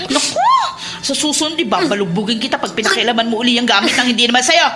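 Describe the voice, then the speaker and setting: A woman's voice: on the high side, fast, heavy background noise, natural voice. A woman playing a bitter, suspicious aunt in a Filipino radio drama, with a scolding, exasperated manner. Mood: furious, scolding. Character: bitter, suspicious aunt and resentful wife, short-tempered.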